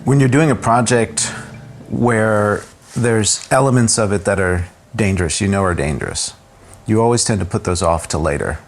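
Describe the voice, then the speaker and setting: A male filmmaker recounting a personal ordeal in calm, reflective conversation, full of casual fillers. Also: on the low side, moderate pace, soft background music. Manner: reflective, calm, with frequent "you know" fillers. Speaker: interview guest, filmmaker and journalist.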